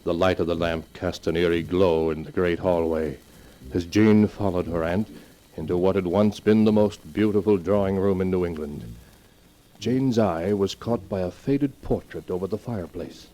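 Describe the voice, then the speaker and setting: A man's voice: deep voice, moderate pace, dark tone, a little hiss or hum, rough recording. A man giving ominous, dramatic scripted narration for a 1940s radio melodrama. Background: dramatic music.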